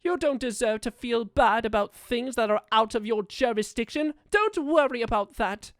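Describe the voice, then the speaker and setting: A woman plays a doting owl demon prince with a distinctly high voice, recorded close and dry. He speaks in florid, regal phrases, theatrical yet affectionate, as a comforting character in an audio roleplay. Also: normal speed, dry recording, natural voice. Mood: tender, sincere.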